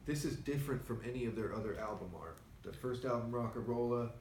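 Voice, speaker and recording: A soft-spoken man co-hosting a casual chat in a record store, talking in a low-key, rambling way. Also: fast, a little hiss or hum. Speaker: record store owner co-host. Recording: echoey store, mic at a distance.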